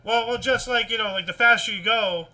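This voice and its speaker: A man with a high, very sharp and forceful voice hosts a casual news chat. He moves between reading stories aloud and rambling commentary, often circling back and repeating words in an animated way. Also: fairly quick. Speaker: host of a weird-news talk show.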